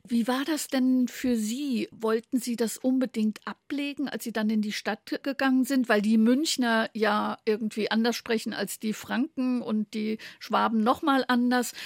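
A woman hosting an interview, her voice a little high with a strong gravelly fry. She asks long, winding questions in a chatty, curious manner. The sound is dry and close. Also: dry recording. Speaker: interviewer, host.

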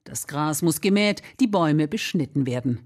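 A woman's voice: low voice, animated, normal speed, darkish tone. A woman reporter reading scripted narration for a radio feature.

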